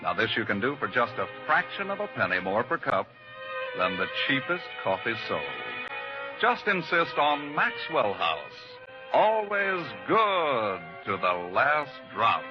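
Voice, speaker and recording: A man's voice: medium pitch, big pitch swings, unhurried. A man reading a scripted 1940s radio advertisement as a polished, emphatic commercial announcer. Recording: muffled old radio recording, music behind. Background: music.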